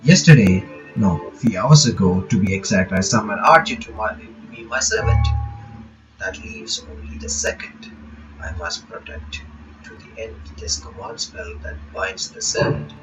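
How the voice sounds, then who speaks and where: A man reading a visual novel aloud in a halting way, stopping for casual asides to his viewers. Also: on the low side, expressive. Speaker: reaction channel host. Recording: dull lo-fi audio with music behind.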